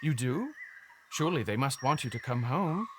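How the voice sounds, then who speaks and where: Audio drama role, male actor: formal, ambitious middle-aged man, very expressive pitch, some background noise. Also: moderate pace, natural voice. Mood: wistful, doubtful. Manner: formal, earnest, instructive. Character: stiff, ambitious grown man, banker turned would-be island ruler.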